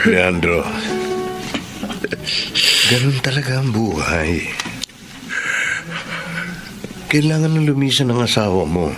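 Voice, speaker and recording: An actor playing a steady, consoling Filipino male confidant in radio drama style, gently firm and measured. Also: mid-range voice. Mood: solemn, consoling.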